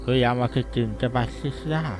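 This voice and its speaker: An ominous ghost of a long-lost village man , played by a man, draws out his words with slow menace. He sounds aggrieved, in a horror cartoon with prominent music. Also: medium pitch, close to an everyday voice. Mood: ominous, solemn. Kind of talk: animated horror story dialogue.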